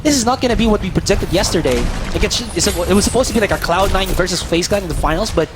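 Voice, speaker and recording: A man's voice: high-pitched, animated, very fast, background noise. A man giving eager live play-by-play commentary on a competitive video game. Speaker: esports commentator. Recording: loud music and game sound behind. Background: game audio and music.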